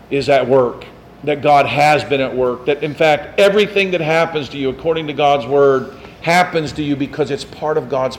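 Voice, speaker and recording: A man's voice: a little high, a little hiss or hum. A man preaching a sermon as pastor, in a conversational way, asking the congregation questions and teasing them.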